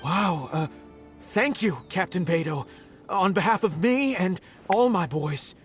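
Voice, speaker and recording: An actor playing a humbled small-time bandit leader in a video game, nervous, deferential, apologetic and eager. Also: male voice, sits high, expressive, a little slow. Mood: stunned, grateful. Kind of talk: scripted game dialogue. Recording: studio voice over game music. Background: game background music.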